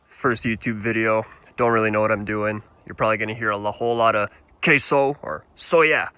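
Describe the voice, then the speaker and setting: Canadian man, young, casual swearing, phone camera, bright lowish voice. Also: steady tone, a little fast, clear, resonant tone, dry recording. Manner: casual and profane, rambling with restarts. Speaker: motorcycle vlogger. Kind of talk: casual walk-around vlog.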